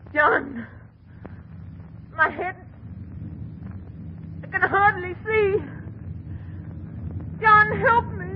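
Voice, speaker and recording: An actress playing a spiteful, catlike, hysterical wife with melodramatic, biting delivery in an old radio horror play. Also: female voice, heavily acted. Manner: melodramatic, biting, shrill when roused. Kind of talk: old-time radio horror drama acting. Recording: muffled, noisy old radio recording.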